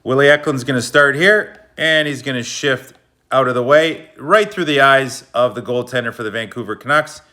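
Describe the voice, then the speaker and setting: A man narrates hockey replays in a relaxed, slangy, coach-like way. His voice sits at an ordinary pitch but comes across bright and forceful. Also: normal speed. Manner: loose, slangy, coach-like explaining. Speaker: hockey video analyst. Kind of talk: casual play-by-play breakdown of game footage.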